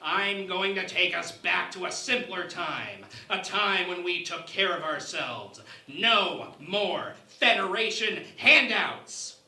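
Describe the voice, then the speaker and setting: A man playing a haughty, scheming alien ambassador in a parody sci-fi radio play, speaking in a theatrical, deliberate manner. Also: sits high, expressive, pushed and bright, roomy sound, heavily acted. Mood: bitter, defiant.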